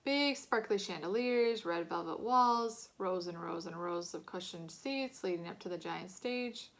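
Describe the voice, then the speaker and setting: A woman narrating a children's novel aloud in an expressive, scripted storytelling style. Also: on the low side, measured pace, creaky, poor audio. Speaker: audiobook-style reader.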